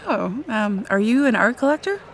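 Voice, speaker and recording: A woman's voice: fairly high pitch, expressive, normal speed, slight background noise. An actress playing a flippant young woman on a date, teasing and casual, in natural romcom audio drama dialogue. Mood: casual, sincere.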